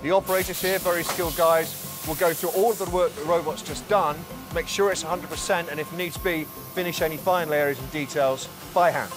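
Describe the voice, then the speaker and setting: A man in his forties with a slightly raised pitch walks viewers through a big factory, explaining steps clearly and evenly. His voice is recorded close on a lapel mic, with clear music running underneath. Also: fairly even intonation, fairly quick, hardly any pauses. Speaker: factory guide. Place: large factory floor, indoors.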